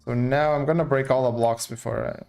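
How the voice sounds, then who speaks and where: A man's voice: medium pitch, steady tone, fairly quick, vocal fry, a little mellow, soft background music. Man thinking aloud. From Portugal. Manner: thinking aloud while building, casual and chatty. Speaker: streamer. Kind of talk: live gameplay commentary.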